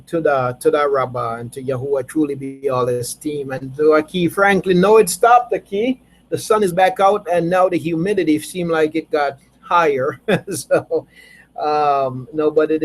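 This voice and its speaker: Male teacher, slightly high voice, easygoing and repetitive, call audio. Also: steady tone, unhurried. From Jamaica. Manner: warm, repeats words, laughs often. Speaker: teacher leading an online study group. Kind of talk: casual religious group chat.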